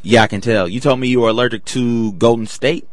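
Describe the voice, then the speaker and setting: A man's voice: mid-range voice, steady tone, brisk, dry recording. A man co-hosting a sports radio show, teasing the host in casual chat.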